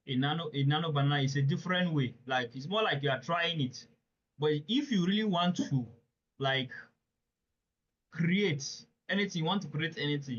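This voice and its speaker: A man teaches a live online webinar in a somewhat high voice. He talks earnestly and emphatically and often puts questions straight to his listeners. The sound is dull and lo-fi, like audio from an online call. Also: fairly bright tone, a little hiss or hum. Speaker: webinar presenter.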